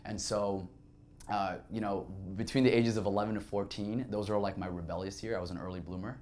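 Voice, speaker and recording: A man's voice: fairly low pitch, steady tone, brisk, a little mellow. A young man, a native Arabic speaker from Saudi Arabia, telling his life story as a podcast guest in a candid, reflective way with many fillers.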